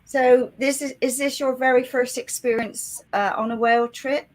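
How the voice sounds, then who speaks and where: A woman's voice: sits high, steady tone, moderate pace. Woman hosting a radio interview. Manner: gentle questions with fillers and laughs. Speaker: radio host.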